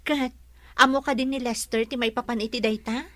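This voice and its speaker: An actress playing an inquisitive, concerned young best friend in a radio drama. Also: female voice, on the high side, steady tone, a little fast, bright, forceful tone. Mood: curious, surprised.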